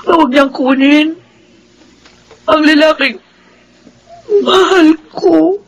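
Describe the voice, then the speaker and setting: A woman's voice: high voice, takes it slowly, rough recording. An actress playing Libay, a tender, tearful young woman in a radio drama, talking in an emotional, pleading way.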